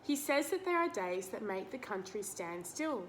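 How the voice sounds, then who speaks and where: A woman's voice: medium pitch, big pitch swings, normal speed, a little hiss or hum. A woman reading a picture book aloud to children, explaining it simply and putting questions to the listener. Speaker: read-aloud teacher.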